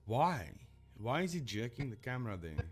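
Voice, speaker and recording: Animated man rambling on stream. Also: mid-range voice, moderate pace, music in the background. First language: Afrikaans. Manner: rambling, self-correcting, animated. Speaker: streamer debunking ghost videos. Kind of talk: casual live-stream commentary.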